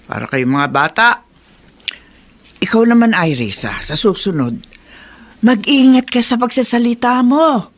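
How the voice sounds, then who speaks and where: Scolding, caring household woman, lively pitch. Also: unhurried, pauses often, full character voice. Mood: chiding, concerned. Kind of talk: Filipino radio drama acting.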